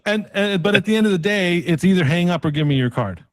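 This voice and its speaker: A man's voice: high-pitched, lively intonation, quick pace, dry recording. Persistent male sales coach, dry close sound. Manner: pushy, persistent, uses tag questions; casual, colloquial phrasing. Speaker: sales coach demonstrating a mock pitch. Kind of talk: sales call role-play pitch.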